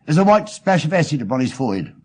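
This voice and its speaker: Man voicing an aggrieved English tradesman, high-pitched, swooping. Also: sing-song, fast. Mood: deferential. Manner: plaintive, emphatic, deferential. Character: aggrieved, simple male London tradesman, earnest and indignant. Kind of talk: dramatic audiobook character reading.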